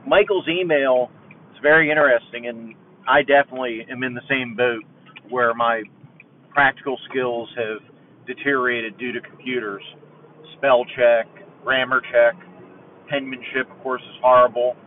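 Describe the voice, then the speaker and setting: A man calling in to a podcast with a casual, halting voice message, thinking aloud, in a lo-fi recording made out and about. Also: mid-range voice, steady tone. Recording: distant lo-fi voice message, noisy outdoor ambience.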